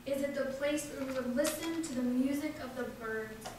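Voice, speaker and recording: A woman reading the speech of an earnest anarchist anti-war orator, in a measured, rhetorical way. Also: sits high, lightly acted. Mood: earnest, wistful. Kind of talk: political speech read aloud. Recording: echoey hall, distant mic, clear noise.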